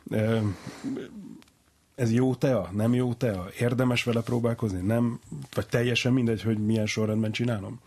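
A man's voice: mid-range voice, steady tone, dark tone. A man hosting a conversational interview, asking questions as a playful, self-deprecating layman. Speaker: interviewer, host.

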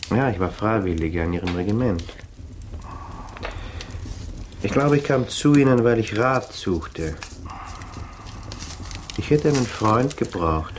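An actor playing a cultivated young student in a German radio drama, quietly mocking and menacing, speaking formally and with deliberate insinuation. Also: male voice, on the low side, expressive, natural voice. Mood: polite, probing. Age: young adult. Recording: faintly noisy 1980s radio drama recording.

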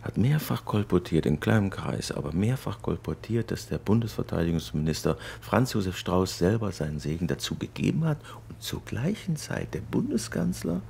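Casting: grumbling male engineer, radio play, creaky voice with very varied melody, contemptuous and formal. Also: deep voice, big pitch swings, normal speed, few pauses, darkish tone, slight background noise, exaggerated voice. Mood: indignant. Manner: sullen, contemptuous, formal when addressing others. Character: impatient, grumbling German aircraft engineer in 1960s Cairo. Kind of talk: naturalistic radio drama acting with muttered inner monologue.

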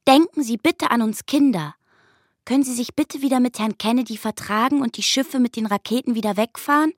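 A woman playing an anxious, earnest little girl of about eight with a childlike, clear voice in a German radio play. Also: a little high, a little fast, no room sound, clean studio sound, natural voice. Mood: earnest pleading. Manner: earnest, pleading.

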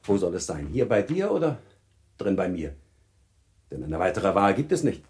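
An actor playing a courteous, gently patronizing baron and older husband in a German radio play, speaking in a measured, formal, reassuring way. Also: male voice, deep voice, very animated. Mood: genial, formal.

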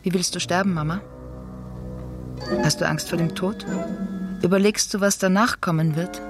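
An actor playing a grown child who confronts their mother in a literary radio monologue, with deliberate, repetitive phrasing. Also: mid-range voice, fairly even intonation, music in the background, lightly acted. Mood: probing, haunted.

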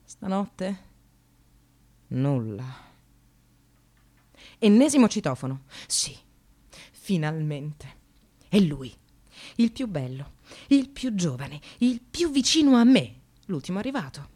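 Low-voiced, wildly expressive, fed-up receptionist. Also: female voice, slow pace, long pauses, full character voice. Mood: contemptuous, deadpan. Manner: sardonic, dramatic, swings from muttering to outbursts. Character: exasperated, bitterly sarcastic precarious receptionist, a working mother. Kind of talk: theatrical radio monologue.

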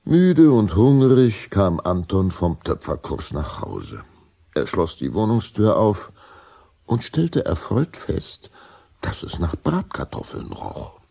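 A man narrating a scripted children's story as its narrator, with measured, dramatic storytelling. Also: deep voice, huge pitch swings, gravelly, warm tone, breathy edge.

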